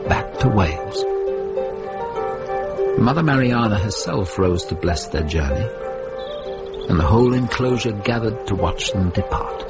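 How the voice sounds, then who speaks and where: Slow, low-voiced medieval drama narrator. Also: male voice, lively intonation, loud music behind the voice. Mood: solemn, measured. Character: measured storyteller of a medieval mystery.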